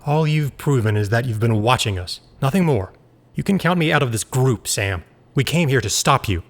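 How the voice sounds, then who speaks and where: A man's voice: medium pitch, expressive, moderate pace. A man playing a defiant, distrustful patient who leads his group in an audio drama, curt and sardonic.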